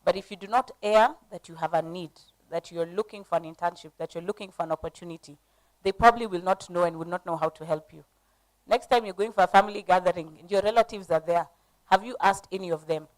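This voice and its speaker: Coaching woman, lowish voice. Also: quick pace, low-quality recording. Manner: coaching, asks the audience questions. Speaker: panelist. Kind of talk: conference panel talk.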